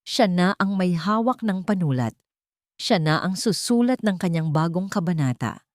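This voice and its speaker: A Filipino woman narrates a dramatic love story as a storyteller. Her voice sits fairly low for a woman and the sound is dry and close. The delivery is measured and sentimental. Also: darkish tone, dry recording.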